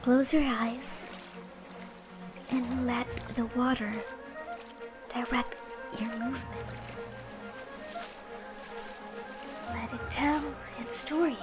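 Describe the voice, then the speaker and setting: A woman voicing a gentle, cheerful young female dancer in a soft, soothing ASMR comfort roleplay, breathy and encouraging. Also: on the high side, fairly even intonation, slow, long pauses. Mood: dreamy, soothing. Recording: faint background music and ambience.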